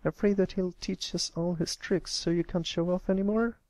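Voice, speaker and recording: A performer voicing a brash filly, pitched up. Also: steady tone, moderate pace, a little mellow, no room sound, natural voice. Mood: accusing. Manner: blunt, challenging. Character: brash, accusing young tomboy filly. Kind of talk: acted fan fiction reading.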